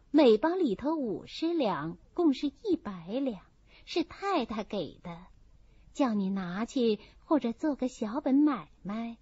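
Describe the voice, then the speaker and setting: A woman's voice: fairly high pitch, a little mellow, breathy edge, no room sound, exaggerated voice. A woman voicing a modest, friendly young maid in an audiobook, explaining things politely and in good order. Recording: dull, muffled audio.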